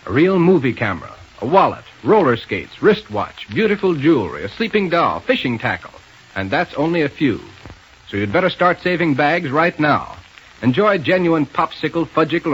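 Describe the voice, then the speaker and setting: American male announcer, 1930s radio style, highish animated voice, emphatic selling, muffled recording. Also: normal speed, husky. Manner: enthusiastic, emphatic sales delivery.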